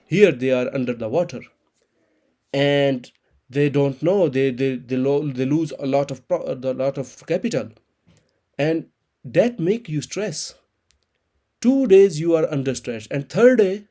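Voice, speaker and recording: A man in his thirties, an Urdu speaker, explaining a trading chart in halting with many restarts. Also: fairly high pitch, lively intonation, mellow. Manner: halting non-native English, frequent restarts and repeated words. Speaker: crypto trading channel host.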